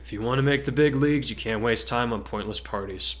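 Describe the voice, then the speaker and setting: A man voicing a tired, disinterested male teacher who mumbles curtly and flatly in a story reading. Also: medium pitch, fairly quick, natural voice. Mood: bored, stern. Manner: mumbling, flat, curt. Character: weary, disinterested, scruffy adult male teacher.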